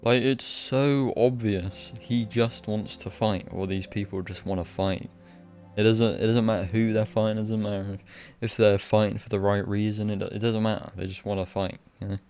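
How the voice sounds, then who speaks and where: A man's voice: lowish voice, steady tone, moderate pace, darkish tone. A man giving casual livestream game commentary, blunt and exasperated, with a sweary, ranting manner. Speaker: game streamer. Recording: game music behind voice.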